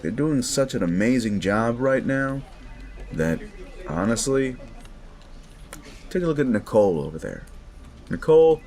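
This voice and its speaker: A man's voice: mid-range voice, a little mellow. A man playing a smug, sleazy werewolf boss in an audio roleplay, talking down to the listener in a mocking, patronizing drawl. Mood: falsely encouraging. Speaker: office boss.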